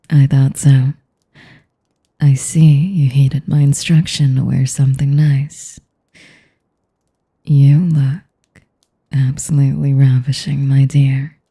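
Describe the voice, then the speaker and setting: Vampire noblewoman, played by a woman for audio roleplay: sadistic, seductive, formal, dark velvety low register, hushed breathy delivery, dry close sound. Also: dry recording, heavily acted. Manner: slow, formal, teasing, savoring each phrase. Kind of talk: intimate audio roleplay addressed to the listener.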